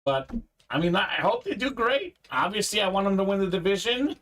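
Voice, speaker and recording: Male host from Bulgaria, fairly high voice, casual running chat about the pulls. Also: big pitch swings, fairly quick, fairly bright tone. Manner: chatty, reads out viewer comments. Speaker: live card break host. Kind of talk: casual live-stream chat.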